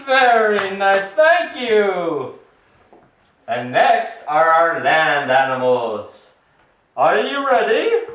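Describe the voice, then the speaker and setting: A playful announcer hosts a children's puppet show with plenty of exclamations and coaxing. The delivery is slow and swoops up and down. The recording is distant and echoey. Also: mid-range voice, very animated, a bit bright. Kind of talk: playful announcing to a small family audience. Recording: distant echoey home recording, faint noise.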